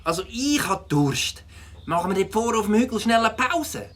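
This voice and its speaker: A woman's voice: mid-range voice, big pitch swings, normal speed, noisy background, close to an everyday voice. A woman voicing an enthusiastic, trusting young boy in a cartoon. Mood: playful. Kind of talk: cartoon character acting.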